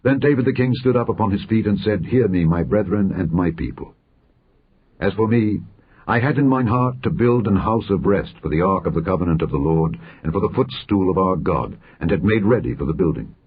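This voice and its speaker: A man reads scripture aloud in a solemn, measured, formal manner, letting his phrases fall at the end. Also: deep voice, expressive, slightly rough, phone-quality sound. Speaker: scripture reader.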